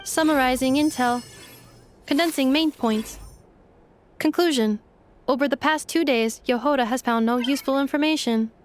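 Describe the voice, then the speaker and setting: A woman playing a calm, loyal female robot in a video game, speaking in measured, formal, deadpan phrases. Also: high voice, a little hiss or hum, natural voice. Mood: calm, matter-of-fact.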